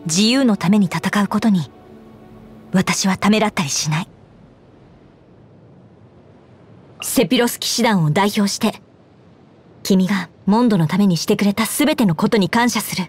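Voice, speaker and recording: A woman voicing an earnest, dutiful young knight commander in a Japanese game, speaking in a formal, measured way. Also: medium pitch, lightly acted. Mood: resolute, earnest. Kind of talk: Japanese game character voice lines. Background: background music.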